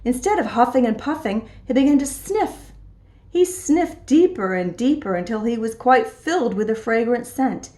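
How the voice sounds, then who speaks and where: A woman reading a children's storybook aloud in an expressive storytelling style. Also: fairly high pitch, moderate pace. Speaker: storybook reader. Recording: echoey room.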